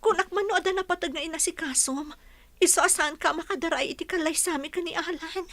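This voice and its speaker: Woman acting a high-voiced, troubled young bride. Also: fast talker, fairly bright tone, dry recording. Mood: upset, confused. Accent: Filipino. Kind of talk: Ilocano radio drama acting.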